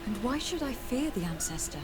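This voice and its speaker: A woman's voice: fairly high pitch, very animated, a little fast, very noisy. An actress playing a polite, determined woman adventurer in a video game, speaking in a formal, articulate way. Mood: inquiring. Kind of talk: video game character dialogue.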